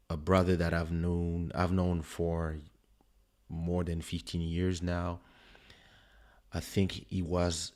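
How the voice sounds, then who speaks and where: A man with a French accent, a native French speaker, hosting a livestream listening party in relaxed, rambling casual chat with many fillers. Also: sits low. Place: home streaming setup. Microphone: studio desk microphone.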